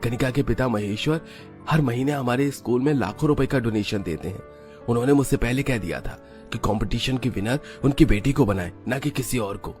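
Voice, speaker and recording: A man's voice: mid-range voice, brisk, darkish tone, soft background music, close to an everyday voice. A man voicing an obsequious, corrupt schoolteacher with a fawning, protesting manner in a cartoon story. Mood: urgent protest.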